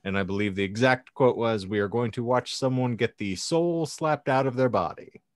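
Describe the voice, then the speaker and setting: A man's voice: medium pitch, normal speed, dry recording, studio quality. A man hosting a casual podcast with wry banter, speaking in a wordy, mock-formal way. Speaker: podcast host.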